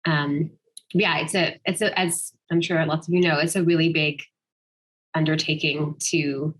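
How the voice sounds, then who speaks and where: A woman's voice: sits low, level tone, measured pace. A woman speaking informally and thoughtfully as a guest writer answering questions, hesitating as she goes. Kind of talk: casual question-and-answer at an online literary event.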